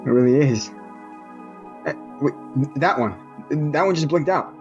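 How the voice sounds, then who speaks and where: An actor playing a hesitant, bewildered adventure hero in an audio drama. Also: male voice, fairly high pitch, lively intonation, a little slow, dull audio, soft background music. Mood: awed. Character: bewildered male adventure hero meeting his creator.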